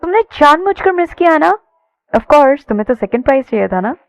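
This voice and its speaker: A woman's voice: sits high, sing-song, a little fast, pitched above the performer's own voice. A woman voicing an irritable, defiant young woman in a romance audio story, with a pouty, demanding manner. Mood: angry accusing. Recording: dull lo-fi sound.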